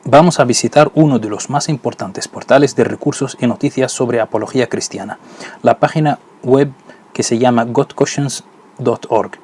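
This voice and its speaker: A man who is not a native Spanish speaker, hosting and narrating a scripted religious lesson in a measured, didactic way. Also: medium pitch, a little mellow, husky. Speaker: host.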